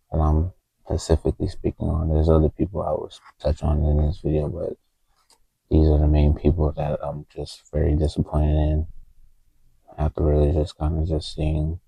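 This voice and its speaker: A man recording a heartfelt, halting confessional vlog on a handheld phone in a small closet, with a TV faintly audible from the next room. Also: rumbling low, vocal fry, breathy edge, dry recording, lo-fi sound. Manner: halting, heartfelt, stops often to find words. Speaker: vlogger. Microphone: handheld phone camera. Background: faint TV music from the next room.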